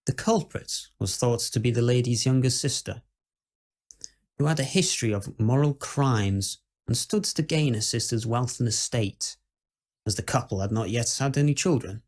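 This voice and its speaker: A man playing a skeptical, uneasy Victorian gentleman who tells ghost stories in a formal, measured, old-fashioned way. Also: medium pitch, natural voice. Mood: grave, musing. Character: dread-filled, skeptical Victorian gentleman recounting house ghosts. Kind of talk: first-person dramatic ghost-story monologue.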